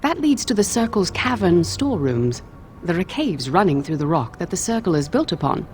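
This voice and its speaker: A woman's voice: fairly low pitch, big pitch swings, normal speed, darkish tone, slight background noise. An actress playing a curt, irritable senior female mage in a video game, brusque and dismissive. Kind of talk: scripted video game dialogue.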